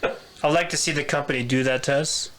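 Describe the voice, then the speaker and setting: A man's voice: fairly high pitch, steady tone, normal speed, a bit bright. A man with a Filipino accent co-hosting a podcast, chatting casually with lots of fillers and restarts. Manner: hesitant, many fillers and repeats. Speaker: podcast co-host. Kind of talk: casual chat.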